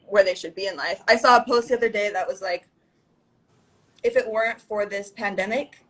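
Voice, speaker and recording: A woman's voice: medium pitch, brisk, a bit bright, poor audio. A young adult woman talking as a guest in a casual interview, rambling with lots of "like" and "you know". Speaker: guest musician.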